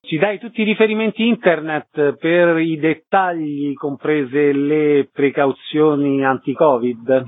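A man's voice: on the high side, unhurried, hardly any pauses, no echo. A man presenting and interviewing as the host of a radio show. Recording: dull, muffled radio sound.

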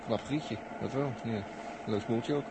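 Acteur als droge, mopperende ruimtevaarder in oud hoorspel. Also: male voice, medium pitch, unhurried, lightly acted. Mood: jovial, appraising. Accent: Dutch. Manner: colloquial, muttering, wry. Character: wry, jovial male spaceship crewman, blunt and grumbling. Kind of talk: 1960s Dutch radio drama acting. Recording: noisy old 1960s radio recording.

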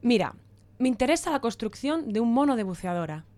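A woman acts out a domineering woman director for a radio drama. She speaks fairly high with lively swings, bossy and curt, dismissing whoever she talks to. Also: a little fast. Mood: conspiratorial. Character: domineering, stingy female director and head of household.

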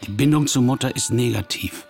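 An actor playing a self-assured, probing palm-reading analyst who speaks in deliberate, authoritative pronouncements in a radio play. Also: male voice, mid-range voice, gravelly, a little mellow, faint music behind, lightly acted. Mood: clinical pronouncing.

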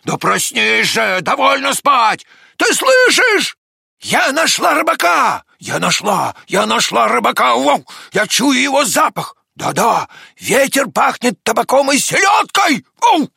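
Мужчина изображает звонкую взбудораженную собаку. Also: male voice, high voice, sing-song, measured pace, vocal fry, sharp tone, breathy edge, no room sound, clean studio sound, pitched above the performer's own voice, exaggerated voice. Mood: excited, urgent. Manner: exclaiming, insistent. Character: excitable, urgent female dog with keen nose. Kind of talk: animated children's storybook reading.

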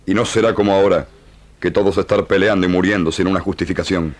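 Fierce but just Indian chief, very deep voice, solemn, broken Spanish, radio drama. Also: male voice, fairly even intonation, quick pace. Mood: solemn, proud. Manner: solemn, speaking broken Spanish in gerunds and infinitives. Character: proud, ferocious yet just Indian chief of the Pampas. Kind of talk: old radio drama acting.

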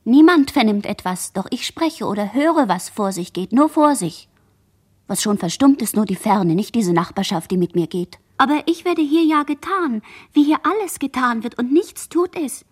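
A woman's voice: a little high, expressive, normal speed, slightly rough. A woman playing a dazed young girl who murmurs a fragmented, incantatory inner monologue in a literary radio play. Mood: dazed, musing.

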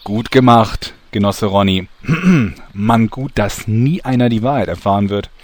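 A man's voice: on the low side, a little slow. A man narrating a comedy audio drama with a wry, smug manner.

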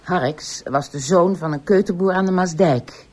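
An actress playing a friendly, obliging police detective colleague in a radio drama. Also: female voice, fairly low pitch, measured pace, darkish tone. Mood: matter-of-fact. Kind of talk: Dutch radio drama acting.

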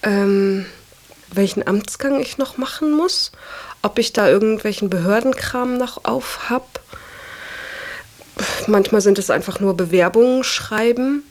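Young woman in her 20s, normal pitch, just chatting and thinking out loud, adds questioning tags. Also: measured pace. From Germany. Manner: often ends phrases with a questioning "ja". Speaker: interviewee. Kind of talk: reflective casual personal talk.

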